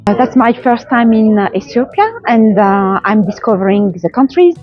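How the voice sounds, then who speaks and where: A woman from Tunisia with a Tunisian accent gives informal interview answers, haltingly and searching for words. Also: medium pitch, lo-fi sound, faint music behind. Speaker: journalist, trainer being interviewed.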